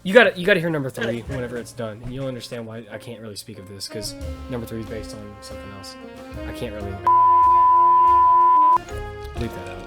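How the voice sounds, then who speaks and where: A man's voice: mid-range voice, fast talker, pauses often. Rambling casual man over music. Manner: rambling, informal, slangy, breaking off mid-thought. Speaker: podcast host and audio fiction creator. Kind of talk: casual podcast chat with friends.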